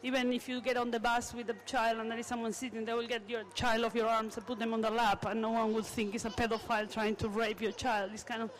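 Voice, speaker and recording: A woman's voice: a little high, level tone, fast talker, few pauses, poor audio. A woman from South America giving opinionated, conversational remarks in an informal group discussion. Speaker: discussion participant, parent.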